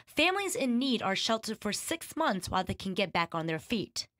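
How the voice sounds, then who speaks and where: A woman's voice: medium pitch, very animated, moderate pace, fairly bright tone. A young woman reporter giving a scripted news report in an emphatic announcer manner. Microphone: handheld mic.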